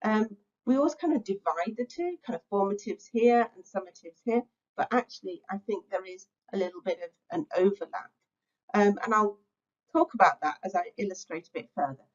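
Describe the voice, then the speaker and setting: A woman's voice: medium pitch, expressive, brisk, muffled recording. A middle-aged woman, giving an online lecture to teachers, reflective and conversational with frequent filler sounds. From England. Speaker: teacher-training speaker. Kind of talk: webinar lecture.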